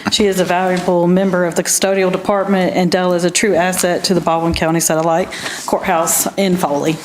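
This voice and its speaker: Lower-voiced woman in an echoey room. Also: flat delivery, brisk. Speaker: staff award nominator. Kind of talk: prepared tribute speech. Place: indoor government meeting room. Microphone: podium microphone. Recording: echoey noisy meeting room.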